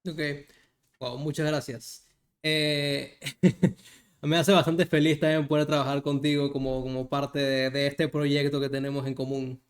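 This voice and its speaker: A man's voice: mid-range voice, little pitch movement, unhurried. A young man interviewing a guest in a friendly, casual way, hesitating with fillers.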